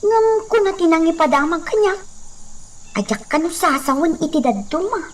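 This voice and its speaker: A woman's voice: very high-pitched, expressive, normal speed. A woman acting a chatty, deferential maidservant in a radio drama, with an Ilocano Filipino accent. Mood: gossiping, concerned. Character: gossipy, deferential maidservant reporting to her mistress.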